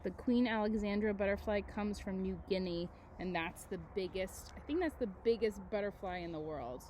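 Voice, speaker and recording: A woman with a mellow, soft-edged voice works as an elementary teacher doing a read-aloud. She reads expressively and breaks off for casual comments and questions to the children. The recording has some background ambience, like being out in moving air. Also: mid-range voice, moderate pace, slight background noise. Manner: casual, conversational, engaging listeners with questions.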